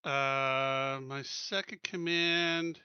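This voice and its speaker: A man's voice: medium pitch, steady tone, very slow, bright, forceful tone, clean tone, no echo, muffled recording. A man playing a tabletop game, giving orders hesitantly and asking about the rules. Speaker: player. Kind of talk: casual play at a tabletop game.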